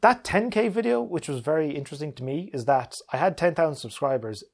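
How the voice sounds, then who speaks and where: A man's voice: a little high, lively intonation, quick pace, gravelly. A man hosting a casual question-and-answer video about games, talking in an easygoing, conversational way. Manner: easygoing, conversational, chuckles often. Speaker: YouTube gaming video creator and host.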